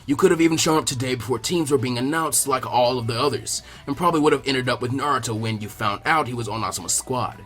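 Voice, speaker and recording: A man's voice: mid-range voice, fast, hardly any pauses, fairly bright tone, faint music behind, voice pushed down, close to an everyday voice. A man voicing a grizzled, wry old warrior leader in an anime fan story. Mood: wry, chiding.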